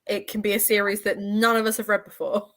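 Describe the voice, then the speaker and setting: A woman of medium pitch leads a relaxed live readalong chat. She banters with the others and puts emphatic stress on her opinions. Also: fairly quick. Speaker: readalong discussion host. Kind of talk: casual book discussion, live.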